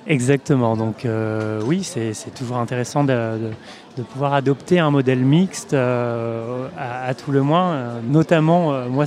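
A man answering interview questions at a trade fair, speaking in measured phrases with frequent hesitations. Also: mid-range voice, darkish tone. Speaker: in-house lawyer, guest.

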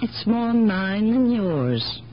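An actress playing an independent, philosophical elderly aunt with a sharp mind, speaking deliberately in gently contrary riddles in 1970s radio drama style. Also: female voice, medium pitch, very animated. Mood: gently insistent. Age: older. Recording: dull, noisy 1970s radio broadcast recording.

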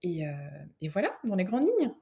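A woman from France with a French accent, an interior designer speaking as a guest, talking haltingly with many fillers and restarts. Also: mid-range voice, wildly swooping pitch, warm tone. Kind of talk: casual interview.

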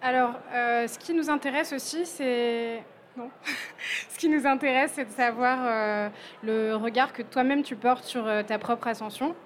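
Female interviewer, casual and hesitant, mildly high voice. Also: a little slow, slight background noise. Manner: hesitant, with fillers.

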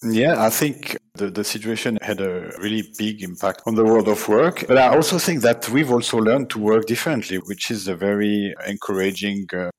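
A man in his fifties from Switzerland speaks as a podcast guest, with a fairly low voice. He talks in a measured way, with fillers and small restarts. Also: low-quality recording. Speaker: guest, people management consultant. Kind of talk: podcast interview.

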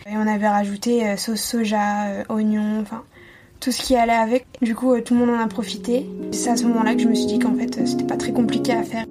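Higher-voiced woman, fairly even intonation. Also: fast talker, soft background music. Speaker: podcast listener guest. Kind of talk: casual personal account.

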